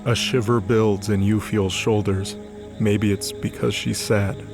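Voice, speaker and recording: Low-voiced man narrating audio drama. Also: level tone, unhurried, gravelly. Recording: faint music under the voice. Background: music.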